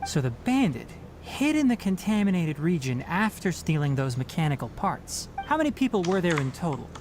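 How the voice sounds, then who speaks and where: A man's voice: a little high, very animated, normal speed, darkish tone, slight background noise. An actor playing a blunt, no-nonsense young male forest ranger in a video game, who talks curtly. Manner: curt, cuts people off. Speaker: forest watcher. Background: game music.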